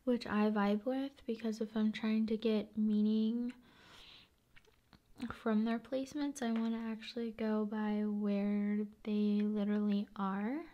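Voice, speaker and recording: A woman chatting casually in an ASMR style, soft-spoken and often dropping into whispers, with long unhurried pauses. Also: mid-range voice, flat delivery, lo-fi sound. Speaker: ASMR video host. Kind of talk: ASMR, casual rambling chat.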